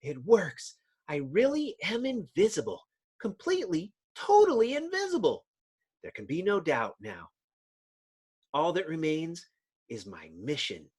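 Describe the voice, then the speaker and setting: A man's voice: fairly high pitch, wildly swooping pitch, a little slow, a little mellow, slightly rough, exaggerated voice. A man voicing a resolute, secretive young woman superhero in a dramatic comic-book reading. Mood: awed, determined.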